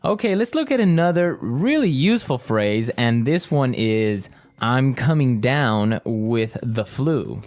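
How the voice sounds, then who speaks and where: A man co-hosting an English lesson podcast, upbeat and repeating phrases slowly for learners to copy. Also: medium pitch, animated, darkish tone, dry recording, soft background music. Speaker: podcast co-host, English teacher.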